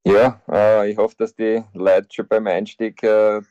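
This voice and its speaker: Halting Austrian man in his fifties, deep. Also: little pitch movement, mellow, smooth, dry recording. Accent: Austrian German. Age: 50s. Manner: halting, many fillers and repeated words. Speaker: football coach, podcast guest. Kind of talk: casual interview talk. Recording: dull lo-fi sound.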